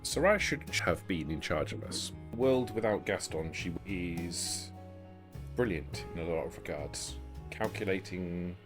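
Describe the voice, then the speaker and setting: Man playing a deep-voiced, formal vampire schemer. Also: animated, music in the background. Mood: grave, explaining. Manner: formal, deliberate, halting. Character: shrewd, self-serving vampire negotiator, formal and measured. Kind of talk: in-character tabletop roleplay dialogue.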